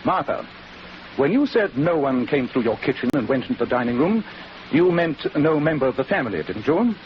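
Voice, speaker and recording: An actor in a 1940s radio drama playing an assured, incisive detective with precise English diction and an authoritative manner. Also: male voice, mid-range voice, big pitch swings, fairly quick, music in the background, close to an everyday voice. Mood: probing. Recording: muffled, noisy 1940s radio recording.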